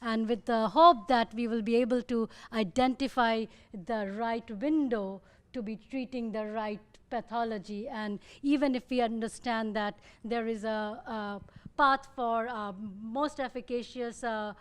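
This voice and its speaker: A woman with an Indian accent, a researcher speaking thoughtfully on a panel and often pausing to find her words. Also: on the high side, fairly even intonation, clean tone. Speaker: muscle disease researcher.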